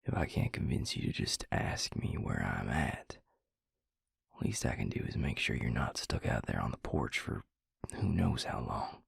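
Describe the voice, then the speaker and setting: A man playing a weary, grieving vampire lover, tender and gruff, in an intimate audio roleplay with a husky, gravelly murmur. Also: sing-song, no echo, clean studio sound, full character voice. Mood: fond exasperation. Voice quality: husky, breathy, gravelly low voice. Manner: slow, halting, murmured, with sighs.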